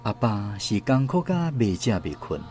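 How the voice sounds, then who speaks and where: A grown child recalling family life in a radio drama. His Taiwanese-accented narration is tender and very expressive in pitch, with soft guitar music underneath. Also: normal speed. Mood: sorrowful. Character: grown child fondly remembering a hardworking mother. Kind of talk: scripted literary radio narration.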